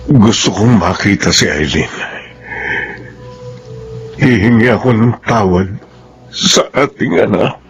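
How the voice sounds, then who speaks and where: A man's voice: on the low side, expressive, takes it slowly, long pauses, muffled recording, music in the background. A man playing a solemn father, ashamed and repentant, in a Filipino radio drama. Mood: contrite.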